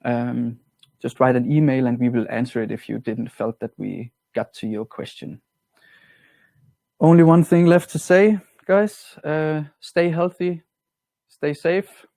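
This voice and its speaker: Man with a Danish accent, mellow and dry. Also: medium pitch, unhurried, creaky, no room sound. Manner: relaxed, plain-spoken, slightly non-native grammar. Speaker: product trainer. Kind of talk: webinar software walkthrough.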